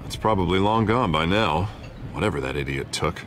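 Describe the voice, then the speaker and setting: A confident, protective politician in a video game. His deep voice moves freely up and down, easygoing and smooth in manner. Faint noise sits behind it. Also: lively intonation, normal speed, fairly bright tone. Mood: concerned.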